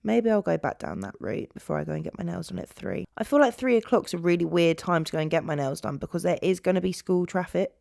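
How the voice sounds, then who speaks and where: A British woman in her twenties hosting a podcast solo, rambling casually to her viewers in an animated, chatty way. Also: fairly low pitch, fast, vocal fry, few pauses, no echo, studio quality. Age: 20s. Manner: chatty, animated, jumps between topics. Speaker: podcast host.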